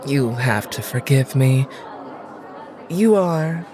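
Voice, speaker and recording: A woman playing a haughty, cynical noblewoman in an audio drama, with an arch, aristocratic and deliberate manner. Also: extremely low pitch, lively intonation, a crowd in the background, heavily acted. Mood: gracious, teasing. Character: haughty, cynical, insistent noblewoman, lady of the house.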